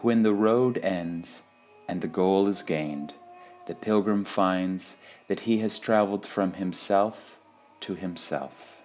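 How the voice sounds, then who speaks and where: A man reads short spiritual sayings in a measured, deliberate devotional style, pausing between phrases. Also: sits low, a little mellow. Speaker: reader of spiritual quotations. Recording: music under the voice. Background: background music.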